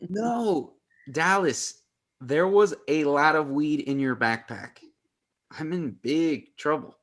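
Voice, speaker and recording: Man acting anxious teen boy, highish slow voice. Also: fairly bright tone. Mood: worried. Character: anxious, loyal teenage son and best friend. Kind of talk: comedy script table read.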